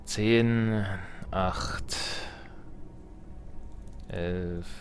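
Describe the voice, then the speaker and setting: A man's voice: fairly low pitch, steady tone, extremely slow. A man doing casual gameplay commentary, thinking aloud and muttering playful, wry asides to himself. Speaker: let's player.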